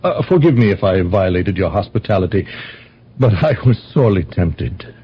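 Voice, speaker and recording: Stammering, husky man, flustered radio-drama guest. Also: low voice, expressive, normal speed. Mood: nervous, wistful. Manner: stammering, repeating words, agitated. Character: flustered, lovestruck male house guest, insistent and earnest. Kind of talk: old-time radio drama acting. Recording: dull lo-fi radio recording.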